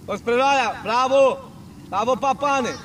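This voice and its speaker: A man coaching football, calling out loud, urgent short commands and praise during a training drill. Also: very high-pitched, a little hiss or hum. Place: outdoors on a football training pitch.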